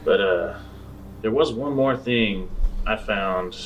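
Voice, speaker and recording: A man acts out a sheepish space adventurer in a tabletop game. His voice is fairly low, and he talks haltingly, often repeating himself. He sounds a little distant from the mic, with faint noise behind him. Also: dull audio. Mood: explaining. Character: sheepish male space adventurer reporting back. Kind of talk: improvised tabletop roleplay.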